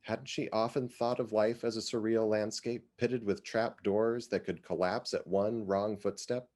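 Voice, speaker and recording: A man giving a dramatic reading of literary fiction, with expressive and deliberate phrasing. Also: fairly low pitch.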